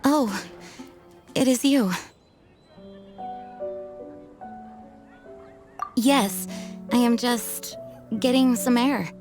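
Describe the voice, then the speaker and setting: An actress playing a dignified young knight commander in an anime-style game, speaking sincerely in formal, measured phrases. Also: female voice, fairly high pitch, a little mellow. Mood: gentle, grateful. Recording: music playing behind the voice.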